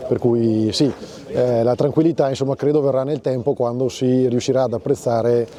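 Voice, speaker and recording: Mellow, dark-toned man, hesitant interviewee. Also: mid-range voice, steady tone, normal speed. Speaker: local official. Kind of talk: spoken news interview, unscripted.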